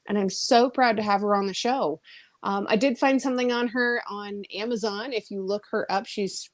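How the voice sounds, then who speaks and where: A woman hosting a podcast interview, enthusiastic and bubbly, laughing often. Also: mid-range voice. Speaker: podcast host. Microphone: audio-only call.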